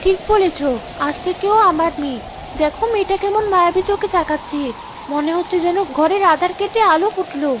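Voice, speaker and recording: A woman voicing a fretful village wife and anxious mother in an expressive cartoon drama. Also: extremely high pitch, fairly quick, background noise. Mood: astonished, tender.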